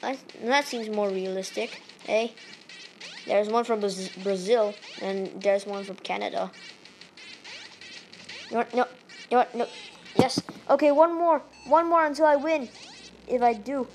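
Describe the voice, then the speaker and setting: A woman from Malaysia with a Malaysian accent, giving excitable let's-play commentary into a tablet microphone held up to her face, yelping and pleading at the game. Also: fairly high pitch, measured pace, long pauses, slight background noise, low-quality recording. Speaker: gaming channel host.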